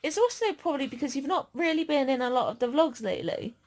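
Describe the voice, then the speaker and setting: A woman's voice: high-pitched, expressive, fast, darkish tone. A woman vlogger chatting casually in a giggly way. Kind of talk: casual chat.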